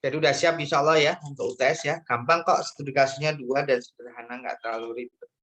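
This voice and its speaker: A man's voice: mid-range voice, steady tone, quick pace, fairly bright tone. A man lecturing in an informal, chatty way, often checking in with questions during an online class discussion.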